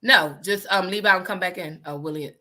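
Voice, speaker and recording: A woman from New Jersey with a deep voice, hosting a live podcast in loose, chatty talk that often restarts mid-sentence. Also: brisk, a crowd in the background. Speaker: podcast host. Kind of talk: casual live podcast chat.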